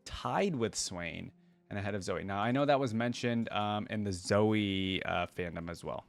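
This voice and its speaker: A man hosting a reaction video in an informal way, reading text aloud and thinking out loud about it. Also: lowish voice, normal speed, gravelly. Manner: informal, thinking aloud between read passages. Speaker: reaction channel host.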